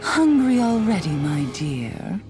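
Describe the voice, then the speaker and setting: A woman's voice: medium pitch, very animated, takes it slowly, music in the background. A woman playing a cruel, haughty villainess in a video game, with a theatrical, menacing manner and formal old-fashioned diction. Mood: sinister doting. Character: cruel, haughty female villain, coldly doting on a pet. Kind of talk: scripted video game voice acting.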